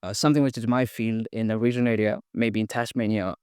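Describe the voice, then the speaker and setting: A young man from India speaks in a smooth, clear voice, recorded close and dry with no room sound. He talks casually and haltingly, full of ums and restarts, as he tells his story to a podcast host. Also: on the low side, fairly even intonation, a little mellow, no room sound. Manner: hesitant, many fillers and restarts. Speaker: podcast guest. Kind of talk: casual interview, sharing personal story.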